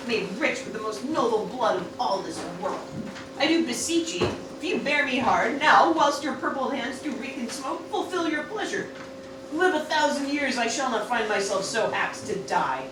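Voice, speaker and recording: Woman playing high-voiced, grandly grieving cartoon wife. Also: expressive, normal speed, natural voice. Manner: declamatory, theatrical. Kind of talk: amateur Shakespeare parody radio play. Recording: echoey room, distant mic, clear noise.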